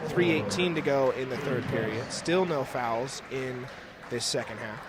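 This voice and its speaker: A man's voice: mid-range voice, unhurried, over a noisy crowd. A young man giving live play-by-play commentary of a basketball game as the announcer. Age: young adult. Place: indoor school gymnasium during a game. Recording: noisy gym ambience, bouncing basketballs. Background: game noise, basketball bounces.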